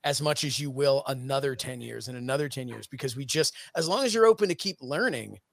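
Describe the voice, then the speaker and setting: A man in his late thirties talks in an easy, mid-pitched voice, earnest and chatty as he explains himself at length. The sound is dry and close, with no room around it. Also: lively intonation, fast talker, vocal fry, dry recording. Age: 30s. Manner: earnest, explains at length with frequent "you know". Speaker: guest, streaming network executive. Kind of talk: casual podcast interview.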